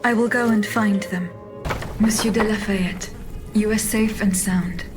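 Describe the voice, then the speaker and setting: A woman playing a calm, loyal female automaton bodyguard in a video game, speaking in a measured, formal way. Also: mid-range voice, lightly acted. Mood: calm, resolute. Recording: game dialogue over loud music.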